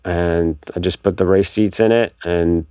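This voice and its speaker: A middle-aged man from Bulgaria, a guest telling personal stories casually in an unhurried, halting, reflective way. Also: sits low, fairly even intonation, warm tone, smooth, no echo, clean studio sound. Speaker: podcast guest, car enthusiast. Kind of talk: casual interview storytelling.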